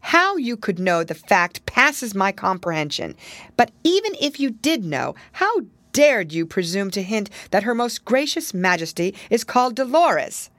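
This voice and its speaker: Woman voicing a pompous, scandalized elder court lady, higher-pitched. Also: big pitch swings, measured pace, fairly bright tone, dry recording. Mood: horrified. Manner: pompous, reproving. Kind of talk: storybook character voice.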